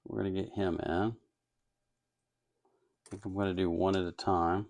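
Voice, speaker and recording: A man with a fairly low voice and a strongly creaky, gravelly edge talks through a hands-on task. His delivery is casual and unscripted, as if thinking aloud. He muses and hesitates as he goes. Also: fairly even intonation, a little slow, darkish tone. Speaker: host of an electronics kit-building channel. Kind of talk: casual, unscripted workbench narration.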